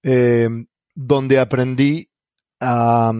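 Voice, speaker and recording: Argentine man, podcast co-host, mid-pitched voice, dry close sound, halting with fillers. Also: flat delivery, warm tone, clear, resonant tone, dry recording, highs cut off. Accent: Argentine Spanish. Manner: hesitant, many fillers and restarts. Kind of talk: casual podcast chat.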